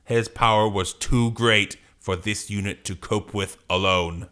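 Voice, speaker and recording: A man voicing a giant emotionless killer robot from a comic, with slow, stiff mechanical delivery. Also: fairly low pitch, steady tone, pushed and bright, smooth, voice pushed down. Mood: cold, reporting.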